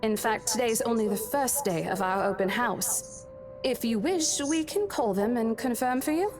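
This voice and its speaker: A woman's voice: a little high, lively intonation, normal speed, music in the background, close to an everyday voice. A woman playing a polite, composed estate agent in a visual novel, speaking tactfully and professionally. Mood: apologetic, composed. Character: polite, composed young female estate agent smoothing things over.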